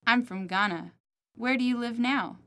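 A woman's voice: on the high side, normal speed, fairly bright tone. A woman reading model dialogues and repeated words for a language course, articulating each one carefully. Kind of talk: scripted model dialogues and drills.

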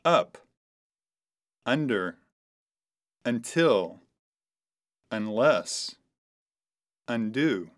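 A woman reading model dialogues and word drills for a language course, with careful, clear articulation. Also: rumbling low, expressive, very slow, pauses often.

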